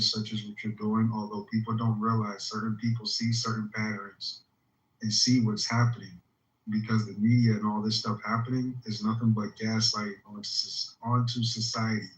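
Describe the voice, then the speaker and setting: A man's voice: on the low side, reverberant, poor audio. A man calling in and talking at length in an earnest, meandering way about coming upheaval. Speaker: caller. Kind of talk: rambling conspiracy monologue.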